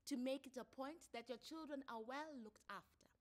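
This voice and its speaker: A woman voicing a measured, formal female court counselor who questions deliberately in a dramatised story reading. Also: sits high, voice pushed up, natural voice. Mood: firm, explanatory. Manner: deliberate, questioning, drawn-out phrasing.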